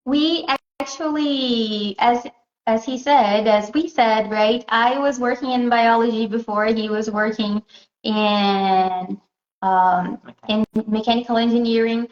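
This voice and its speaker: A woman answers an interviewer's questions at a slow pace, stopping often to search for words. Her voice sits a little high. It reaches us through a muffled recording, and the room is echoey. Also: steady tone. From Southeast Brazil. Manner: hesitant, pauses often to find words. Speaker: interview guest.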